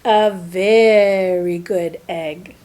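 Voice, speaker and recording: A woman voicing an earnest, eager-to-please good egg in an expressive, emphatic children's storybook telling. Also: lowish voice, extremely slow. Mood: earnest, weary. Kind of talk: first-person children's storybook narration.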